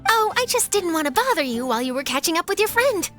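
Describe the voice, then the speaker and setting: An actress playing a keen, confident young female reporter in a video game, brisk and conspiratorial. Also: very high voice, very animated, loud music behind the voice. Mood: breezy. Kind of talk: video game cutscene acting.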